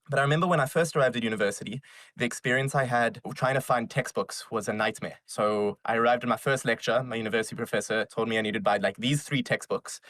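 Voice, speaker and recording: Young south african guy chatting as a guest, energetic, dry close sound. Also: mid-range voice, dry recording. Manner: energetic, fast, casual storytelling. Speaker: guest, startup founder. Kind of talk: casual interview answers.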